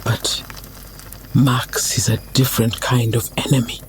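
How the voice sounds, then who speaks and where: A man playing a wise, solemn old community leader in a motion comic drama, measured and reflective. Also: medium pitch, level tone, slight background noise, close to an everyday voice, poor audio. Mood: grave, reflective. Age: older.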